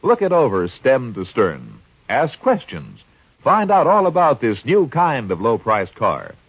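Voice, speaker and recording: An American man reading a 1950s radio commercial as an announcer, in brisk instructional sentences. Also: medium pitch, huge pitch swings, breathy edge, no room sound. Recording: tinny, narrow-band old radio recording.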